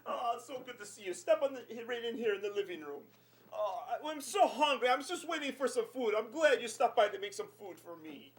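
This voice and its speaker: Excitable, high mama's boy. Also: brisk. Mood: excited. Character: excitable, hungry Italian Canadian mama's boy.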